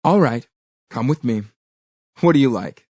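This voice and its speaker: A woman reads as a clever village girl in a folktale. She speaks sweetly yet firmly, with animated rises and falls and a gently instructing manner. The recording is dry and close. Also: a bass voice, unhurried, slightly rough, dry recording, full character voice. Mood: firm bargaining. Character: clever, sweet-spoken village girl, kind but firm.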